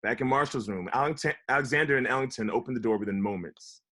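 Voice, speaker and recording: Guy narrating a script read, regular mid pitch, casual table read thing. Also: quick pace, fairly bright tone. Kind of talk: reading screen directions, then casual chat.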